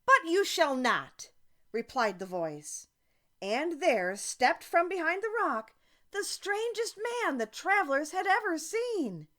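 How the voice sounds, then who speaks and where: A woman reading a children's story aloud as an audiobook narrator, expressive and deliberate. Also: very high voice. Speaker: audiobook reader.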